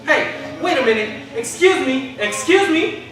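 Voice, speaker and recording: A woman's voice: high-pitched, animated, a little slow. A woman playing a brash, folksy 1940s Okie store customer in a staged reading. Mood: brash, nosy. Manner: loud, pushy, drawling folksy phrasing. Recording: very echoey hall, distant mic, noisy.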